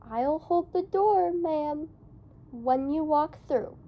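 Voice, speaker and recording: Storybook role: kindly male therapist read by a woman, coaxing, very slow, raised pitch, mellow tone. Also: lively intonation, dull audio, full character voice. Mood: encouraging. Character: friendly, encouraging male physical therapist in a novel.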